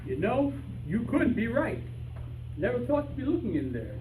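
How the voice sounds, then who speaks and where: A man's voice: extremely high pitch, wildly swooping pitch, normal speed, exaggerated voice. A man playing an anxious, naive amateur detective in an old-time radio comedy, hesitant and earnest. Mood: flustered. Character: anxious, naive male amateur detective hunting his lost canary. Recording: echoey room from far off, noisy.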